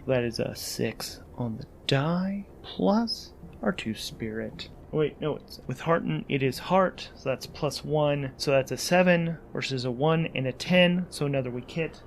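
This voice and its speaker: A man's voice: fairly high pitch, measured pace, gravelly, few pauses, slight background noise. A man narrating his solo tabletop role-playing game, thinking aloud in a conversational, musing way as host and player.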